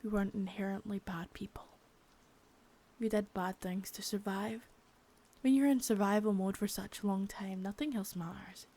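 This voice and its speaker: Girl doing a scary crime boss, husky gravelly whisper, swears a lot, right up on the mic. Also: mid-range voice, fairly even intonation, moderate pace, mellow, close to an everyday voice. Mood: threatening. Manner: threatening, cold, profane. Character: menacing female second-in-command crime boss. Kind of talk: ASMR roleplay, mostly whispered. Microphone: close mic.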